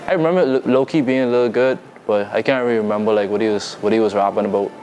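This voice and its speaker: A young man from the Bahamas with a Bahamian accent, talking casually as a music artist being interviewed, relaxed and full of fillers and restarts. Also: on the low side, racing, slight background noise. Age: young adult. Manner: laid-back, loose, lots of fillers and restarts. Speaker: musician guest.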